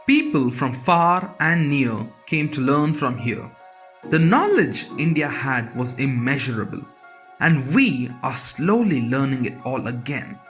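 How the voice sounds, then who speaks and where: A man reading scripted closing narration in a formal, deliberate manner. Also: mid-range voice, fairly bright tone. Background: faint music.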